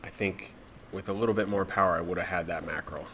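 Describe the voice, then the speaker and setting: Deep-voiced man, stuffy nose from a cold. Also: quick pace, noisy background. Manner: relaxed, matter-of-fact explaining. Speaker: spearfishing vlogger. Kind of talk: voice-over narration of dive footage.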